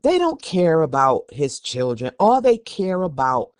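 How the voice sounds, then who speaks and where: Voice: medium pitch, sing-song, unhurried, clear, resonant tone, dry recording. Emphatic podcast host with a dry, close sound. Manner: emphatic, candid, conversational asides. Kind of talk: reading an article aloud, then opinionated commentary.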